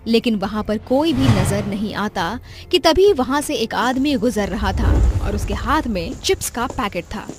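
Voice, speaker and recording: A woman's voice: on the high side, animated, measured pace, hardly any pauses. A woman reading a scripted story as its narrator, with music behind her. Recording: loud music under the voice. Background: background music.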